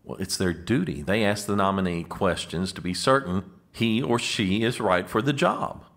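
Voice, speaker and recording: An actor playing an officious, exasperated American presidential aide in a comedic audio drama, speaking formally and managing others with strained patience. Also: male voice, lowish voice, normal speed, a bit bright. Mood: patiently explaining.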